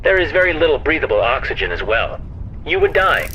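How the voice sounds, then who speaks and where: A small robot crewmate from a science fiction audio drama. It speaks formally and takes everything literally, dutiful to the last, with a lively, swinging pitch. Clear noise and clicks of a spacecraft sit behind it. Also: male voice, a little fast, highs cut off.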